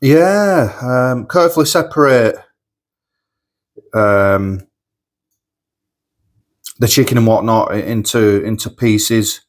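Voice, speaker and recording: A man's voice: mid-range voice, slow pace, pauses often. Chatty sniffly man. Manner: chatty, rambling, repeats himself. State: sniffly with a cold. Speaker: food reviewer. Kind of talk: casual food review vlog. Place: home kitchen.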